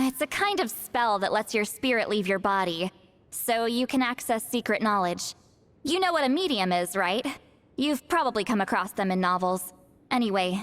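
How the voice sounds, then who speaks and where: A woman's voice: medium pitch, a bit bright, big-room sound, lightly acted. A woman voicing a bossy, young-sounding magical elder in a video game, haughty yet easily flustered, with a theatrical delivery. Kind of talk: animated video game voice acting.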